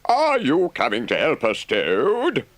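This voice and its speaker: A man's voice: mid-range voice, wildly swooping pitch, slow, fairly bright tone, no echo, pitched above the performer's own voice, full character voice. A man voicing a hot-tempered but practical male water rat, indignant and then firm. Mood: impatient. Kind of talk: audiobook character voice.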